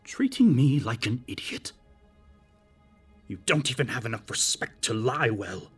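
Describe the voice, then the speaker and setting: A man's voice: medium pitch, lively intonation, measured pace, slightly rough, faint music behind. A man playing an unhinged, furious and self-pitying corrupt older man, snarling with theatrical menace in an audio drama. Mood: bitter, wounded rage. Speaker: voice actor in a fan audio drama. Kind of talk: dramatic villain acting.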